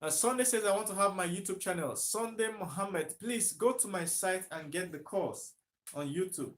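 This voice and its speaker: A man's voice: high voice, moderate pace, a bit bright, slight background noise. A Nigerian man talking on a live stream to viewers, emphatic, repeating himself and asking rhetorical questions. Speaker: livestreaming commentator.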